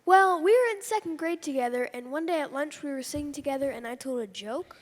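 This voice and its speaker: Long hall reverb, woman acting boyish comic duo member, high voice, earnest, stage radio play. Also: lively intonation, brisk.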